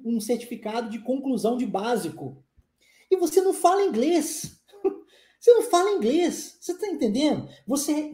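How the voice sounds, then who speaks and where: A man's voice: very high-pitched, warm tone. Emphatic man teaching in an echoey room. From born in Brazil, raised in the United States. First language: English. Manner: emphatic and persuasive, repeats rhetorical questions. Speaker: English teacher. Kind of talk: live online lesson, talking to viewers.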